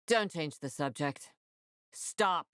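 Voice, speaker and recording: Low-voiced, tough military officer. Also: female voice, lively intonation, slow, fairly bright tone, clear, resonant tone, no room sound, studio quality, full character voice. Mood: cold, threatening. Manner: clipped, authoritative, no-nonsense. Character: tough, commanding female military officer, curt and foul-mouthed. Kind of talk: video game voice acting.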